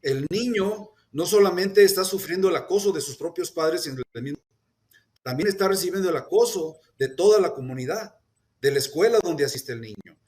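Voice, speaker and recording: A man speaking earnestly at a press conference, deliberate, with hesitations and restarts. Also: on the high side, lively intonation, darkish tone. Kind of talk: formal public statement.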